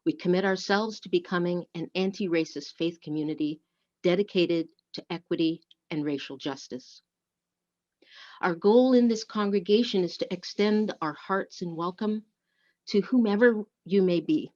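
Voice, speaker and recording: A woman leading a church service, speaking and reading in a measured, deliberate way. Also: on the low side, a little mellow. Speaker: worship service leader. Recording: dull, lo-fi online audio.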